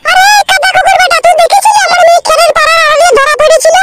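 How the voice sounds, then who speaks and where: Squeaky, scolding cartoon mother-in-law. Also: fairly even intonation, racing, no echo. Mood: angry scolding. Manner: shouting, abusive, threatening. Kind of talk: crude comedy cartoon dubbing.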